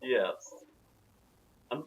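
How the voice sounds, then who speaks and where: A man's voice: very slow, narrow, tinny audio. A man reading a story aloud in a comic, dramatic way and commenting on it. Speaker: narrator and commentator.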